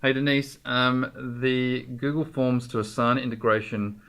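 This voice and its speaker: A man presenting an informal software tutorial, explaining things directly to the viewer in a conversational way. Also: mid-range voice, steady tone, normal speed, clear, resonant tone.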